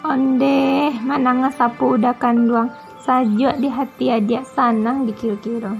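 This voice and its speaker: Woman voicing a high-pitched Minangkabau cartoon wife. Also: fairly even intonation, measured pace, gravelly, faint music behind. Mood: tender. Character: affectionate, devout young wife in a comedy cartoon. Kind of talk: comic cartoon dialogue.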